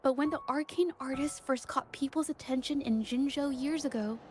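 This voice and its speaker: A woman's voice: high voice, moderate pace, slight background noise, lightly acted. A woman playing a shy, earnest young artist in a video game, speaking in a hesitant, apologetic way. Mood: earnest, wistful.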